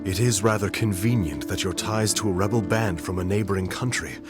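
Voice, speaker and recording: A man playing a cool, probing young nobleman who questions in a deep, precise, formal voice. Game music plays clearly behind him. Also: a little fast, close to an everyday voice. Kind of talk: game cutscene voice acting. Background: game soundtrack music.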